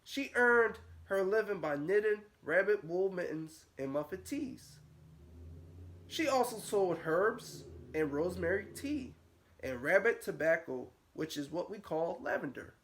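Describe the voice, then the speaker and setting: A man's voice: sits high, lively intonation, unhurried, a bit bright. A male nursery teacher reads a picture book to little kids. His delivery is sing-song, lifting and dropping through the sentences as he tells the tale. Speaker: nursery school teacher reading aloud. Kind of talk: storybook read-aloud for young children.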